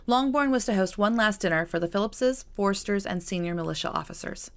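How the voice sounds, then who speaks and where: A woman's voice: mid-range voice, expressive, fairly quick. A woman reading her own novel as scripted audiobook narration.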